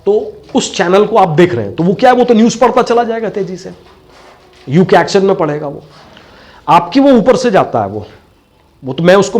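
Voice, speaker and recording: Higher-pitched Indian man teaching. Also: darkish tone. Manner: didactic, repeats key phrases. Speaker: voice and accent teacher. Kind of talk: bilingual classroom lecture.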